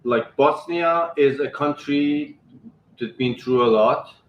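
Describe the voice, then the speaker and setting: A man's voice: a little high, takes it slowly, dull audio. Man, podcast host, rambling reflective talk, echoey room, faint hiss. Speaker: show host. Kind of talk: casual interview.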